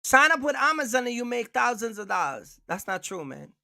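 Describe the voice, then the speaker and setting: Male livestream host, emphatic and repetitive, bright voice, dry close sound. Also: very high voice, very animated, brisk, creaky, no room sound. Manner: emphatic, repetitive, keeps asking viewers for likes. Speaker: trucking channel host. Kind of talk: casual livestream rant.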